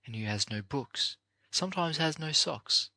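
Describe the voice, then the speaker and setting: A man narrating an audiobook of a motivational address, reading in a deliberate, earnest, oratorical way with measured phrases. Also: on the high side, expressive, vocal fry, bright, forceful tone, dry recording.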